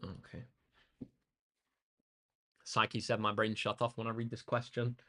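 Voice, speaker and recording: A young man with a slightly croaky voice, tutoring maths on a live stream in an upbeat, chatty way, reading out comments from chat. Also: lowish voice, flat delivery, unhurried, fairly bright tone. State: slightly hoarse. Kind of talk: live-streamed exam walkthrough.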